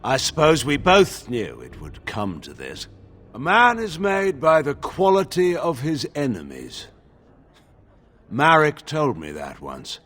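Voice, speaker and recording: An actor playing a proud, embittered middle-aged general with a gravelly, imperious delivery in a fantasy game cutscene. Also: male voice, fairly high pitch, wildly swooping pitch, slow pace, fairly bright tone, faint music behind, exaggerated voice. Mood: grim, measured. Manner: theatrical, imperious oratory.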